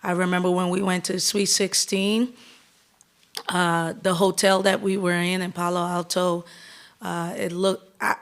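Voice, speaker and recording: Upbeat woman coach, low voice. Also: flat delivery. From Bahamas. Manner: upbeat, candid, talks in quick restarting bursts. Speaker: head basketball coach. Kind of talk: press conference answers.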